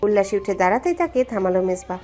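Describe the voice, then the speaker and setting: A woman's voice: medium pitch, expressive, fast talker, darkish tone. A woman narrating a scripted story in a dramatic storytelling style. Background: background music.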